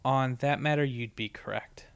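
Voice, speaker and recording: A man's voice: medium pitch, moderate pace, vocal fry, clear, resonant tone, dry recording, lightly acted. A man voicing a bookish, cautious female unicorn pony with precise, polite, hesitant speech in a storybook reading. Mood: dry. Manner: precise, formal wording with hesitations.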